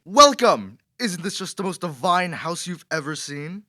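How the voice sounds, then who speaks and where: A man's voice: sits high, animated, unhurried, sharp tone, exaggerated voice. A man acting a pompous, temperamental aristocratic poet in a period radio drama, theatrical and condescending. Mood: grand, welcoming.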